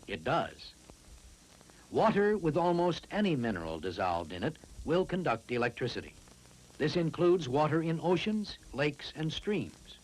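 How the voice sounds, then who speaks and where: Man narrating, lively range, slightly husky. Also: a little high, poor audio. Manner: didactic, deliberate explaining. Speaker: educational film narrator. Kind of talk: scripted science narration for a classroom film.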